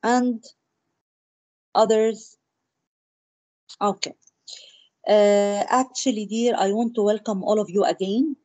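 A woman's voice: medium pitch, steady tone, slow pace, pauses often, mellow, no room sound. A woman teaching an English class over a video call, encouraging and mixing in Arabic words. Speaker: English lecturer. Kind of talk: online class.

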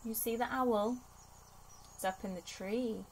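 A young woman reading a rhyming picture book aloud to small children in an expressive storytelling manner. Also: fairly high pitch, measured pace, slight background noise, poor audio. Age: young adult. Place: small bedroom, indoors.

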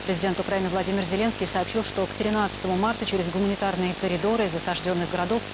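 A woman's voice: mid-range voice, fairly even intonation, very fast. A woman presenting scripted radio news. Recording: noisy dull shortwave radio broadcast, heavy hiss.

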